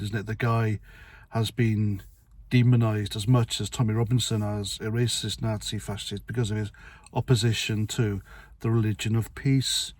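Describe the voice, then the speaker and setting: A man's voice: on the low side, a little mellow. Blunt, rambling middle-aged man. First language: Welsh. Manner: blunt, rambling, sweary, with restarts. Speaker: vlogger. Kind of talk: casual opinion monologue to camera.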